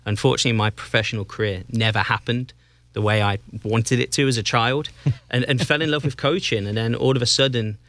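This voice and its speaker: English man, Northampton accent, relaxed guest on a podcast, halting delivery, dry close mic. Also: mid-range voice, no echo. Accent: English, Northampton area. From England. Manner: easygoing, halting with restarts and fillers. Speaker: guest, soccer club president. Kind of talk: casual interview chat.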